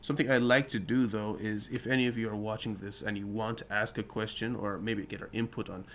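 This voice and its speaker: Relaxed man hosting a podcast. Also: on the low side, brisk, slight background noise. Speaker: podcast host. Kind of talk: casual podcast chat and sign-off.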